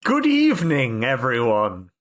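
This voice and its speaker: A man's voice: mid-range voice, wildly swooping pitch, unhurried. A man co-hosting a casual podcast, joking emphatically and repeating words for comic effect. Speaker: podcast co-host and player.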